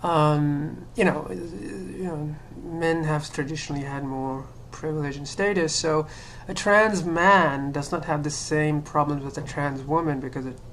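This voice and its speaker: Low-voiced woman, hesitant and musing. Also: unhurried, few pauses, fairly bright tone, a little hiss or hum. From Sri Lanka. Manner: hesitant, many fillers and restarts, laughs self-consciously. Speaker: vlogger. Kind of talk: casual personal monologue.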